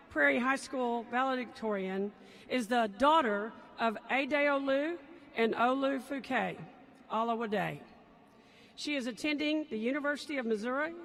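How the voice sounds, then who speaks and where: A woman's voice: high voice, fairly bright tone. A woman introducing student speakers at a graduation ceremony, proudly listing their honors. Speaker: school administrator introducing speakers. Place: large stadium.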